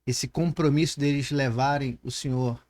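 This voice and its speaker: A man's voice: medium pitch, fairly even intonation, moderate pace, a little mellow. A man with a Brazilian Portuguese accent hosting a conversational podcast interview, reflective and building long questions through examples. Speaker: podcast host.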